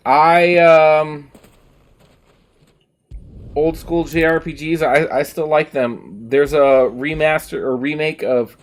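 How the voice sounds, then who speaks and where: A man chatting casually and emphatically on a livestream while playing a game, giving opinions with some swearing. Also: on the high side. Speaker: game streamer. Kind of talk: casual livestream chat over gameplay.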